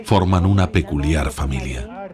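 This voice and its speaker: A man's voice: extremely low pitch, a little slow, darkish tone. A man narrating a documentary from a script.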